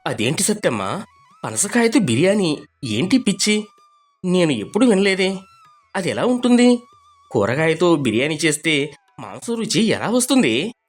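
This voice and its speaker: A man voicing a poor, humble village laborer in an animated story, earnest and expressive. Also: fairly high pitch, moderate pace, long pauses, soft background music. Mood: surprised. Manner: expressive, pleading. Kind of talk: cartoon storybook voice acting.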